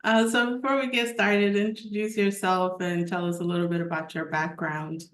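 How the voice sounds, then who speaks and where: A woman's voice: on the low side, level tone, moderate pace. A woman hosting a podcast interview, asking short friendly questions. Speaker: podcast host. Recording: echoey room.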